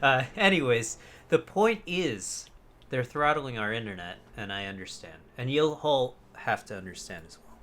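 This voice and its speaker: A man's voice: medium pitch, expressive, normal speed, a bit bright. A man co-hosting a casual podcast, talking in a rambling, jokey way into a close desk microphone. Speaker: podcast co-host. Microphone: close USB desk microphone on an arm.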